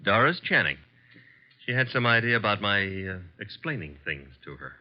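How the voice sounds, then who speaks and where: Wisecracking American sidekick, muffled old radio. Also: male voice, fairly low pitch, very animated, moderate pace. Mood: wry, teasing. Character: brisk, wisecracking male detective's assistant. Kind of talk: old-time American radio drama acting. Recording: dull vintage radio broadcast recording.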